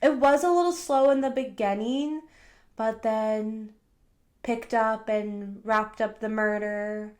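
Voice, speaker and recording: A chatty woman with a fairly high voice, hosting a podcast and recapping reality TV gossip. She keeps saying "like" as she retells scenes. Her voice sounds stuffy from a cold. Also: fairly even intonation, takes it slowly, darkish tone. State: congested from a cold. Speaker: podcast host. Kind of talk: casual pop culture recap.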